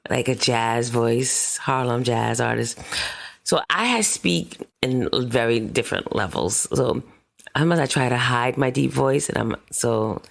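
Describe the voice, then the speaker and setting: A woman with a very deep, gravelly voice co-hosts a casual podcast. She talks in a playful, upbeat way. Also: a little slow. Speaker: podcast co-host.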